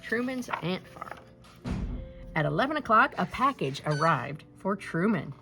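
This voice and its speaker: Female picture-book reader, expressive and deliberate, with a lively pitch range over background music. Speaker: storybook reader. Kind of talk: scripted children's picture-book read-aloud.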